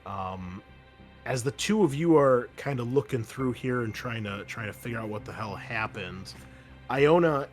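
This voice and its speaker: A man narrating a tabletop game as game master in a casual, conversational, descriptive way. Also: medium pitch. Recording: music playing underneath. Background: music.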